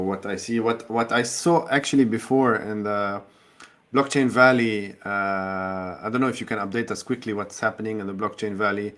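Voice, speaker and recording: A man's voice: on the low side, fairly bright tone. A man speaking conversationally as an interview host. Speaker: podcast host.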